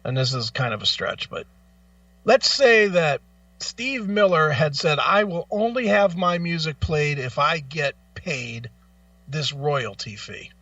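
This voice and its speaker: A man's voice: fairly high pitch, lively intonation, unhurried, pushed and bright, no room sound, distant mic, dull audio. A man co-hosting a radio show in casual, opinionated chat, blunt and freely swearing. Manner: blunt, profane.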